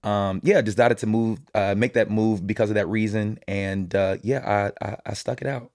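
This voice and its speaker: Chill young guy from indiana, lowish voice, dry sound, talks loose with lots of fillers. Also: moderate pace, dry recording. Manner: relaxed, many fillers and restarts. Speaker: guest artist. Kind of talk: casual interview chat.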